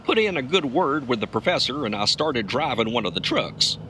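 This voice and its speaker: A folksy, tough trucker turned amateur detective for a comedy audio drama. His voice is lively and swooping, with a sharp, bright edge. A light vehicle noise sits behind his wisecracks. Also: mid-range voice, fairly quick. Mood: wry, folksy.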